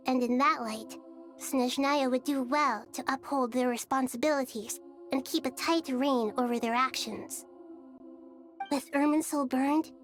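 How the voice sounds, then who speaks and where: A woman playing a gentle, wise, childlike female deity in a video game, composed and measured with a playful streak. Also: high voice. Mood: solemn, resolute.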